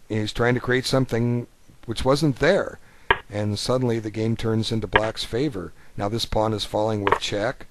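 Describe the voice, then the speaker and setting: A man with a fairly low voice talks through a chess game as a coach. His commentary is informal and rambling, in a folksy, conversational manner. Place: voice-over of an on-screen chess board.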